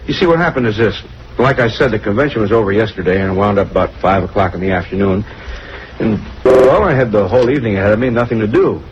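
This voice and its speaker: Actor as a fast-talking, rueful salesman. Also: lowish voice, expressive, close to an everyday voice. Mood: chatty, sheepish. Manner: hesitant, rambling, self-blaming. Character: rueful, chatty male traveling watch salesman. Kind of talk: 1940s-50s radio drama acting. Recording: muffled, faintly noisy old radio recording.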